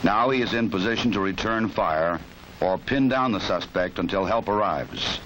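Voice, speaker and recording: Casting: American male narrator, lowish voice, measured and authoritative, vintage training film with clear background noise. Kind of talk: 1960s instructional film scripted narration. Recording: noisy 1960s film soundtrack.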